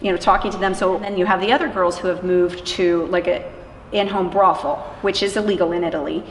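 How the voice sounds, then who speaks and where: A woman's voice: fairly low pitch, fairly even intonation, brisk. A woman giving informal, emphatic conversational answers in an interview about her work. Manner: emphatic, informal, illustrates points with acted-out quotes. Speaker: interviewee, anti-trafficking worker. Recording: echoey, reverberant room with clear background noise.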